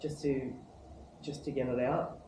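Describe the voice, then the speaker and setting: A man's voice: mid-range voice, flat delivery, unhurried, background noise. Informal man in very echoey room. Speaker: visiting pilot. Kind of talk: casual recounting with acted quotes.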